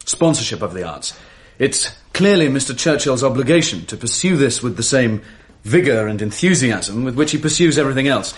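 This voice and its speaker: A man's voice: mid-range voice, animated, a little fast, few pauses, lightly acted. A man playing an arch, vain young debater. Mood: orating. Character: vain, possessive young male Oxford debater. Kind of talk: 1980s radio drama acting.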